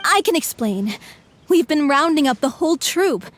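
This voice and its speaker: A woman's voice: sits high, expressive, normal speed, slight background noise. An actress playing a playful, confident young woman of a theater troupe who teases her friends, in a video game. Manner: teasing, upbeat.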